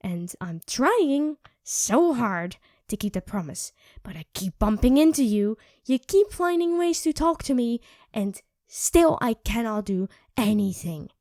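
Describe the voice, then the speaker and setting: A woman playing an irritable young vampire who craves blood, snappy and sarcastic in an ASMR roleplay. Her voice sits fairly high and swings widely in pitch, often dropping into soft whispers. The sound is dry and close, with no room around it. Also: sing-song, unhurried, no echo. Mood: craving.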